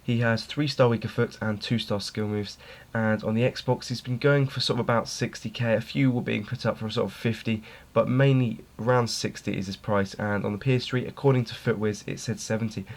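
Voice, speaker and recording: A man's voice: on the low side, fairly even intonation, a little fast. A young man reviewing a video game player card in an informal way, his voice slightly worn as he is losing it. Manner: informal, repeats words for emphasis. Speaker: gaming video host.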